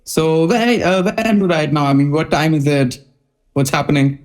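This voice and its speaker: A man hosting a casual interview podcast, speaking in halting, hesitant phrases with repetitions. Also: fairly high pitch, fairly even intonation, a little mellow. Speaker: podcast host.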